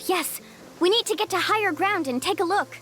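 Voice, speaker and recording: A bright, determined young guide. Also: female voice, very high voice, a little fast. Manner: bright, confident, firm. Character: confident young female guide, upbeat and determined. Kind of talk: video game voice acting. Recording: game audio with faint music.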